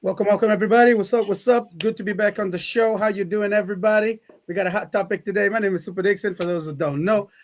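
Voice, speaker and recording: A man's voice: sits high, steady tone, fast, hardly any pauses. A man from Mozambique who grew up in Portugal, talking casually as a talk show co-host. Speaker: senior co-host, dance teacher and DJ.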